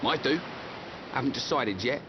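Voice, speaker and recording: A man's voice: mid-range voice, normal speed, background noise, close to an everyday voice. Man playing cheeky, blunt young English fighter. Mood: teasing. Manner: blunt, informal, incredulous. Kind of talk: video game cutscene acting. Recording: dull compressed game audio under music. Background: prominent orchestral music.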